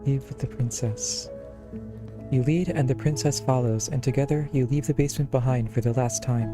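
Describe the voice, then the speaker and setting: A man's voice: mid-range voice, normal speed. A man streaming a visual novel, reading its text aloud and commenting casually. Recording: clear game music behind the voice.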